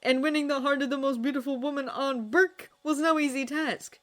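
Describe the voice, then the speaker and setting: A woman's voice: sits high, fairly even intonation, brisk, voice pushed up, close to an everyday voice. A woman voicing an awkward, joking young blacksmith, in a fan fiction reading. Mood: playful. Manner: playful, defensive.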